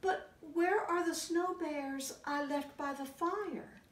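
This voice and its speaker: A woman voicing a gentle mother bear in a storybook, teasing in a motherly way. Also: high voice, takes it slowly, noisy background, voice pushed up. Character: gentle mother bear playing along with her cubs. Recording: roomy, distant camera sound.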